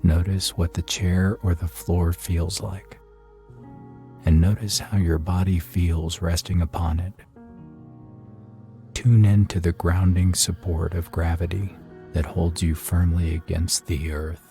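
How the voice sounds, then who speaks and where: Very deep, mellow male meditation guide. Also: flat delivery, vocal fry. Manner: soothing, unhurried, with long pauses. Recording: soft music behind the voice. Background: faint meditation music.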